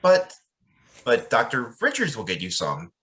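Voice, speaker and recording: An earnest young reverend from a radio mystery, played by a man. He sounds naive and awkward. His pitch leaps about dramatically as he questions and explains. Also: huge pitch swings, a little slow, a bit bright. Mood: hesitant. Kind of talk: amateur radio drama acting.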